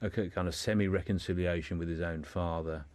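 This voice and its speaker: A man with a low voice talks as a guest in a radio discussion. The sound is dry, with little room in it. He explains haltingly, often doubling back on his words. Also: steady tone, a little mellow, no echo.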